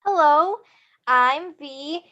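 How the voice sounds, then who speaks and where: Really high-voiced girl-next-door type woman, super casual intro chat. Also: very slow, sharp tone, dull audio. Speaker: table read performer.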